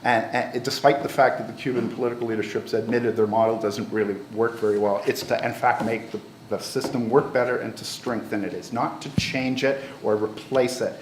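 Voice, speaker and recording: A man giving a measured, formal presentation on a conference panel, now and then repeating a word. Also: mid-range voice, steady tone. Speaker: panel speaker. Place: lecture auditorium. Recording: roomy hall with faint noise.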